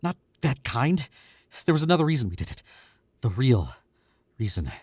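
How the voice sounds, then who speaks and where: A man's voice: medium pitch, big pitch swings, moderate pace, darkish tone, slightly rough, no room sound, studio quality. A man playing a stammering, pleading murderer. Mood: justifying. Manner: stammering, pleading, self-justifying. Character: nervous young male murderer, pleading. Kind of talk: 1940s radio acting.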